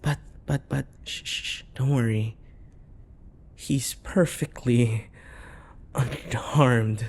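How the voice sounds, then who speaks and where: A man playing a possessive, obsessive dating-game character in an intimate ASMR roleplay, softly coaxing with endearments, sighs and whispers. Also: mid-range voice, steady tone, slow pace, pauses often. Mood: explaining, coaxing.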